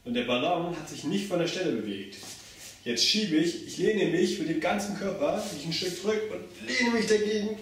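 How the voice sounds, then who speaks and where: A man's voice: high voice, expressive, moderate pace, a bit bright. Young man instructing in a very echoey room. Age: young adult. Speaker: circus pantomime instructor. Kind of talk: step-by-step demonstration for children. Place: bare indoor room with hard walls. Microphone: camera mic across the room. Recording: very echoey room, far from mic.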